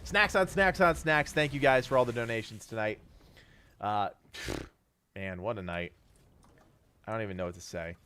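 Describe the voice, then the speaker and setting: Hoarse, grateful man on a stream. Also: fairly low pitch, normal speed, pauses often, bright, forceful tone. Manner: grateful, rambling, laughs often. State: losing his voice, stuffy. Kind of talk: live stream chat thanking donors.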